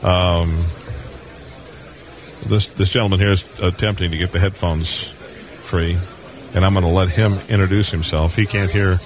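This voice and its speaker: A man's voice: low-pitched, fairly even intonation, normal speed, long pauses. A middle-aged or older man hosting a casual live radio interview, chatting and reminiscing. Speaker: radio show host. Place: convention hall booth. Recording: noisy live event floor broadcast. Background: busy convention floor noise.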